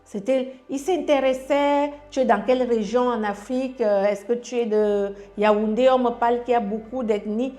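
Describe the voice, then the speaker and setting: A woman's voice: fairly high pitch, fairly even intonation, normal speed, hardly any pauses, warm tone, soft background music. A woman from Cameroon with a Cameroonian French accent, sharing a personal testimony in casual storytelling, with frequent fillers and restarts as she relays what others said to her.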